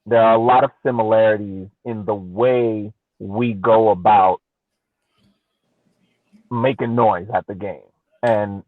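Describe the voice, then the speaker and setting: A man co-hosting a casual soccer podcast, deliberately explaining his analysis point by point over a thin connection. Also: lowish voice, dry recording, phone-quality sound. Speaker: podcast co-host and soccer fan. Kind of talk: casual post-game sports analysis.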